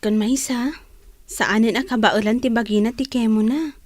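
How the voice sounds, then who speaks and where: High, meek Ilocano schoolgirl voiced by an actress. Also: normal speed, no room sound, natural voice. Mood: earnest, meek. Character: Rebecca, meek, obliging young schoolgirl. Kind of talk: Ilocano radio drama acting.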